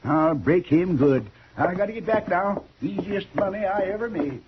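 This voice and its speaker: An actor playing a cocky, eager old cattle buyer with a raspy voice in a 1950s radio western. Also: male voice, sits high, expressive, normal speed, slight background noise. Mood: boastful. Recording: muffled old radio recording.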